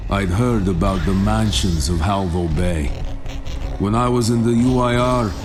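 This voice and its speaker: A low-voiced, sardonic veteran soldier from a war video game. He is proud and measured, with a dry defiance in his delivery. Loud music plays behind him. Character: proud, sardonic male former enemy soldier. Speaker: game character actor. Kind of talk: dramatic game dialogue and reflective narration. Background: loud music, vehicle rumble.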